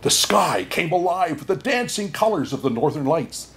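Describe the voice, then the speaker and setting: Man playing jolly, animated old Santa Claus. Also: a little high, moderate pace, voice pushed up. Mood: gently narrating. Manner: hearty chuckles and frequent "oh my" exclamations. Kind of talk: children's storybook read-aloud with asides to listeners.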